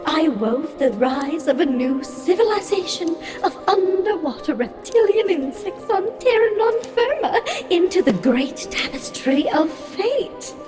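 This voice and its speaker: A woman's voice: very high voice, big pitch swings, unhurried, few pauses, exaggerated voice. A woman playing a grand, airy weaver of fate in an audio drama, speaking in a stately, formal way. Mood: stately, admiring. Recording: very echoey space with music.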